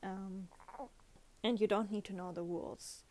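A woman's voice: mid-range voice, steady tone, measured pace, dark tone. A young woman with a German accent, speaking English as a non-native speaker and chatting casually on her podcast, often restarting her sentences. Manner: chatty, self-correcting, with frequent restarts. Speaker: podcast host. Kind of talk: casual monologue.

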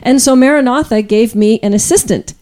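A woman's voice: fairly high pitch, brisk, mellow. A middle-aged woman casually telling stories on a podcast. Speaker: podcast guest and co-host telling industry anecdotes.

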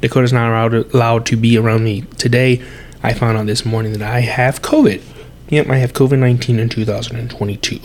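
A man's voice: mid-range voice, fairly even intonation, a little fast. A young man with a mid-range voice talks his way through a solo sports podcast, stuffed up and scratchy from a sore throat. He breathes heavily and keeps restarting his sentences in a loose, chatty way. A faint hiss of background noise sits under the recording. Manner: chatty, self-correcting, heavy breathing. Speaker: podcast host.

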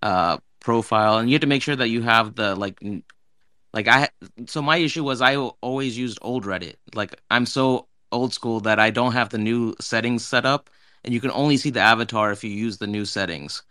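A man's voice: medium pitch, moderate pace, pushed and bright, clean tone, no echo, studio quality. A man in a casual online discussion, explaining things informally step by step and leaning on the word "like". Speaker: participant in an online audio discussion.

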